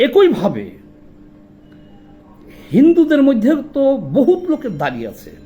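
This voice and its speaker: A man's voice: very high voice, wildly swooping pitch, measured pace, warm tone, faint music behind. A man with a Bangladeshi accent giving informal video commentary, indignant and argumentative, posing rhetorical questions in colloquial speech. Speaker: social commentator.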